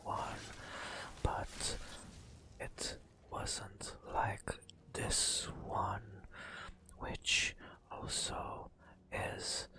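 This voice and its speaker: A man narrating a fantasy game as hushed ASMR storytelling, in a breathy whisper with dramatic, storyteller phrasing and pauses.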